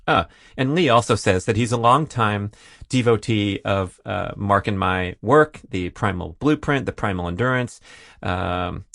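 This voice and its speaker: A man's voice: lowish voice, a little slow, vocal fry, fairly bright tone, no echo, clean studio sound. A man hosting a solo listener Q&A podcast, rambling and jokey with self-deprecating asides. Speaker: podcast host.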